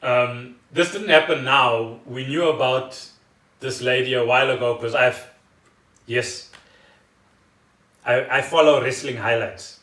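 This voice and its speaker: A man's voice: medium pitch, measured pace, pauses often, fairly bright tone. South African man, echoey distant podcast chat. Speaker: podcast co-host. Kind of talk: casual chat. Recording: echoey room, distant mic.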